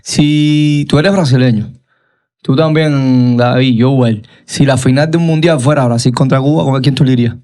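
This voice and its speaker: A man's voice: mid-range voice, fairly even intonation, moderate pace, warm tone. A male podcast host with a Cuban accent chats casually about sport. His delivery is emphatic, and he often repeats short words and phrases to drive them home. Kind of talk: casual, animated sports chat.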